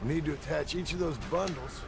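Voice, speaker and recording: An actor playing an anxious, earnest American outlaw and family man in a western video game, with a gravelly voice. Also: fairly high pitch, fairly quick, loud music behind the voice. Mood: focused, instructing. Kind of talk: dramatic video game acting.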